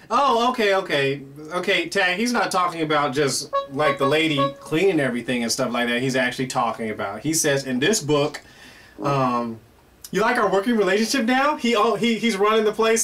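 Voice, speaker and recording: A man's voice: sits high, noisy background. A middle-aged man hosting a playful question and answer show, reacting aloud to an unseen guest with lots of exclamations and laughs. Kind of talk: animated comedic Q&A chat. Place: indoor room before a pale curtain. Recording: distant mic with loud guitar music behind.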